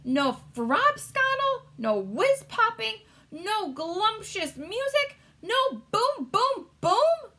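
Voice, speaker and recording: A woman's voice: very high-pitched, sing-song, drawn-out words, bright, forceful tone, pitched above the performer's own voice, exaggerated voice. A woman voicing a big, friendly male giant from a storybook, childlike and boisterous, exclaiming in garbled words. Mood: dismayed.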